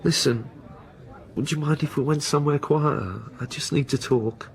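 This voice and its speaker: Radio drama part: actor as guilt-ridden, needy man, higher register, hesitant and pleading, naturalistic. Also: normal speed, lightly acted. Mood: distressed. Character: anxious, needy man hiding a guilty secret. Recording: faint background noise and ambience.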